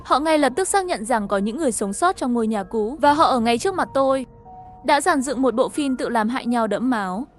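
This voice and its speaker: High-pitched woman narrating a story. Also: expressive, brisk. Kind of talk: scripted first-person story narration. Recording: music behind the voice.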